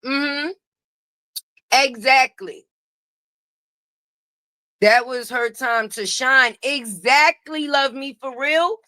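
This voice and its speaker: Loud sassy high-voiced woman streamer. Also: extremely slow, pauses often, dry recording, studio quality. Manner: sassy, loud, greets viewers by name. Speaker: live stream host. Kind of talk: casual gossip commentary.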